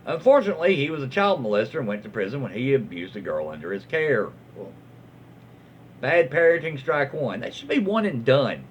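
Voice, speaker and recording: A man's voice: mid-range voice, very animated, fairly quick. A man with a Southern US accent reading stories aloud casually, with folksy, rambling asides and drawling slang. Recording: dull lo-fi audio with faint noise.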